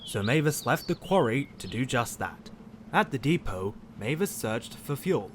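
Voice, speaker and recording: Male storybook narrator, medium pitch, scripted read, clearly audible noise behind. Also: very animated, a little slow.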